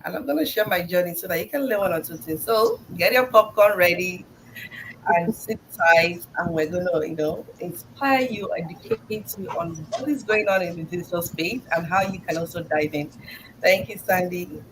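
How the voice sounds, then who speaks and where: A woman's voice: fairly low pitch, normal speed, a little hiss or hum, rough recording. A woman from Nigeria, a guest on a live show, introducing herself over a video call in an upbeat, inviting way.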